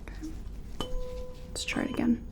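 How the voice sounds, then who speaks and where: A woman playing a gentle, curious sci-fi examiner in an ASMR role-play, soft-spoken and slipping in and out of a soothing whisper. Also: normal speed, slight background noise, lightly acted.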